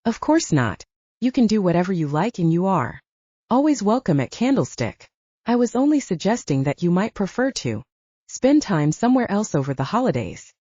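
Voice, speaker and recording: Woman voicing a soft, brooding, formal male lover. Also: sing-song, normal speed, dry recording, muffled recording. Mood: grave, restrained. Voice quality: soft, low. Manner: formal, grave, controlled. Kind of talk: audiobook character dialogue.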